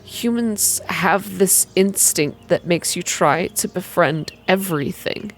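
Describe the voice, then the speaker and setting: A woman voicing a shy, wistful man telling stories in a quiet, hesitant, calming manner, in an ASMR game reading. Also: fairly low pitch, slow.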